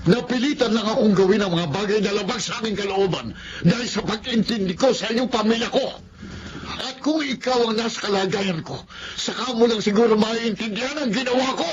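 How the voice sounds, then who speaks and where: A man's voice: sits high, brisk, crowd noise, close to an everyday voice. An actor playing a middle-aged, remorseful ex-convict father, pleading earnestly in a Filipino radio drama. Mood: defensive, heated. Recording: dull lo-fi audio with loud noise.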